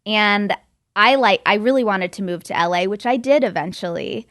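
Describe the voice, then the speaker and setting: A woman's voice: medium pitch, normal speed, fairly bright tone, no echo. Chatty woman with a dry, close sound. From Belgium. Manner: informal and chatty, frequent "like". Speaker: guest, model and entrepreneur. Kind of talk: casual interview storytelling.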